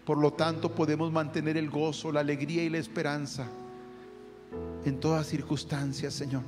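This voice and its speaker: A man's voice: on the high side, little pitch movement, moderate pace. A man leading a fervent spoken prayer, calling out to the Lord after nearly every phrase. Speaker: prayer leader. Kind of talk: spoken prayer over music. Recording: long hall reverb with guitar music. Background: soft guitar music.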